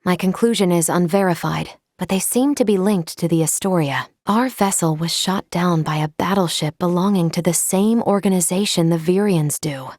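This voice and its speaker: An actress voicing a composed, polite female android in a video game, speaking in formal, measured, precise phrases. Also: lowish voice, darkish tone, no room sound, studio quality, natural voice. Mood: calm, polite. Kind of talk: scripted video game character lines.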